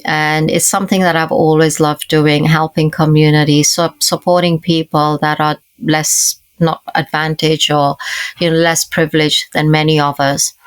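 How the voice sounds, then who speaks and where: A woman's voice: low voice, level tone, moderate pace, smooth, no room sound. A woman in her fifties, from Kenya and raised in the UK, telling her life story as a podcast guest in an earnest, reflective way. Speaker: guest, leadership coach. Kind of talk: conversational interview storytelling.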